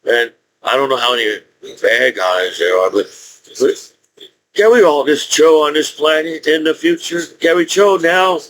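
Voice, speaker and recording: A man in his forties speaking in a rambling, halting monologue with frequent restarts and laughs. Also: a little high, fairly bright tone, a little hiss or hum, poor audio. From United States. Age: 40s. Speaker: YouTuber.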